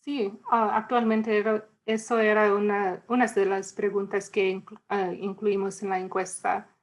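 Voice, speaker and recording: A woman's voice: mid-range voice, flat delivery, moderate pace. A woman presenting survey results in an academic discussion, speaking hesitantly with many fillers and mixing in English phrases. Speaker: student researcher.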